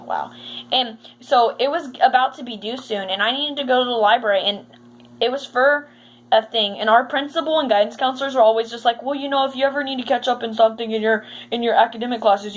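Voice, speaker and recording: A woman's voice: fairly high pitch, rough recording. A young American woman chatting casually as she tells stories, acting out the dialogue in a giggly way. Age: teen or young adult. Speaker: vlogger telling school stories. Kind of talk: casual storytime chat. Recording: faint background noise.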